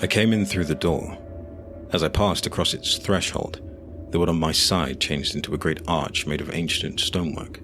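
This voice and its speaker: A man narrating a scripted fantasy audio drama in a measured, solemn way, over faint noise and ambience. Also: very deep voice, fairly even intonation, music in the background.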